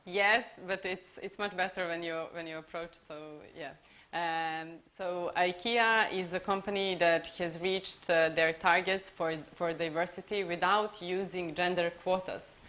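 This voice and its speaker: A woman's voice: on the low side, steady tone, measured pace, fairly bright tone. A woman moderating an online panel and putting questions to a guest. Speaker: interviewer and moderator.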